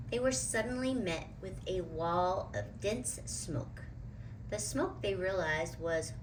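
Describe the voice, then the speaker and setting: A woman's voice: medium pitch, fairly bright tone, slight background noise, poor audio. A woman reading a children's picture book aloud as a storyteller, expressively and with dramatic emphasis.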